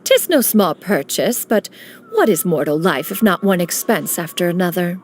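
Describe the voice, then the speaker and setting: A woman's voice: on the low side, sing-song, normal speed, slight background noise. A woman voicing an aloof ancient female immortal master who speaks in a stately, archaic way, referring to herself as "one", in a game cutscene. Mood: composed. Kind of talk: video game cutscene dialogue. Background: faint game music.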